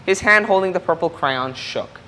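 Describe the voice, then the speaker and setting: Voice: mid-range voice, animated, moderate pace, pushed and bright, slight background noise. A person reading a children's picture book aloud expressively, explaining things and putting questions to young listeners. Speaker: storybook reader.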